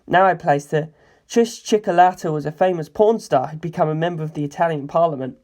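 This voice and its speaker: A narrator reading a first-person story in an expressive, theatrical way. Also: medium pitch, fairly quick. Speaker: audiobook narrator.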